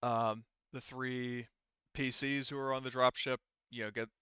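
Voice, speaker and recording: A man running a tabletop roleplaying game as game master, explaining things casually and haltingly with frequent restarts. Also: medium pitch, flat delivery, bright, forceful tone, smooth, no echo, dull audio.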